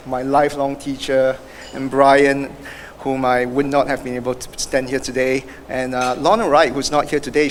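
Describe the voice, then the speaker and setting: A man with a Singaporean accent gives an award acceptance speech, halting and full of fillers and restarts. His voice sits at a middle pitch and carries a long reverb through a large event hall, with a faint hiss of room noise behind it. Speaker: award recipient.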